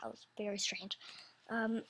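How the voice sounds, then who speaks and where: A young woman with a high, youthful, slightly childish voice talks casually and off the cuff. She speaks slowly, rambling and hesitating as she searches for the right words. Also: little pitch movement, a bit bright, husky, poor audio. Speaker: video creator announcing animation voice auditions. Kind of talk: casual unscripted chat.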